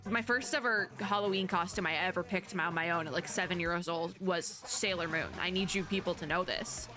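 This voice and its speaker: A woman doing casual reaction commentary as a VTuber, chatty, expressive and slangy. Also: fairly low pitch, fairly quick, over music.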